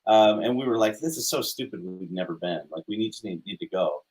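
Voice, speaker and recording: A man's voice: on the low side, lively intonation, brisk, darkish tone, poor audio. A man from Utah chatting casually and easily as an interview guest. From Utah, United States. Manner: easygoing, conversational. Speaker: interview guest, animation studio co-founder. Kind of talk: casual chat.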